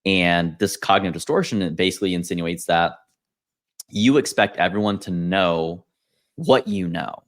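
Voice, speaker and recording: A man hosting a podcast, explaining ideas in a measured, casual way and steering the conversation. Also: low-pitched, fairly bright tone. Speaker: podcast host.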